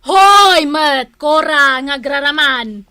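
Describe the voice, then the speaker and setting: Sneering, high-voiced rival neighbour. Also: female voice, animated, slow pace, bright, forceful tone, no echo, heavily acted. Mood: spiteful, angry. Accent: Ilocano. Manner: mocking, drawling taunts. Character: spiteful, sneering rival neighbour wife, proud mother. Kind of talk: Philippine radio comedy drama acting.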